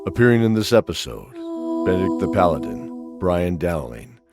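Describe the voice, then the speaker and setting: A man narrating a fantasy audio drama in a theatrical, deliberate style with measured pauses. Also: sits low, big pitch swings. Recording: loud music under the voice.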